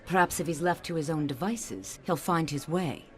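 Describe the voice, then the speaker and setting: A woman's voice: deep voice, moderate pace, natural voice. An actress playing a stern, haughty, disapproving wealthy mother of a grown son in a radio drama, with clipped, scolding delivery. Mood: disappointed, resolute.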